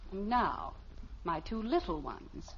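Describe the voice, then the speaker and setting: Through the hiss of a muffled old radio recording comes a sensible suburban mother. She is brisk, patient and commanding, with lively pitch movement in 1950s sitcom style. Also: exaggerated voice. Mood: firm, brisk. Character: sensible, firm suburban mother restoring order.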